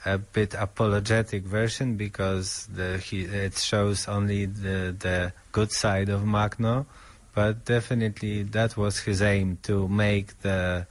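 Male author interviewee, very deep register, unhurried and halting delivery. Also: level tone. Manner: halting, thoughtful, searching for words. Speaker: author interviewed about his book. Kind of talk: interview answers in English as a second language.

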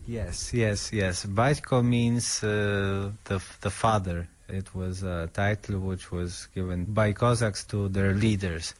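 Male author interviewee, very deep register, unhurried and halting delivery. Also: fairly even intonation. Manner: halting, thoughtful, searching for words. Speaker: author interviewed about his book. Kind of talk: interview answers in English as a second language.

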